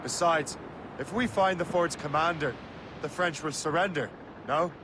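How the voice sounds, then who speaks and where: A male actor plays a young Irish sea captain in a game cutscene. He is wry and brooding, a man torn by his conscience. Clear ambient noise of a ship outdoors sits behind the voice. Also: on the high side, moderate pace, natural voice. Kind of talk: video game voice acting.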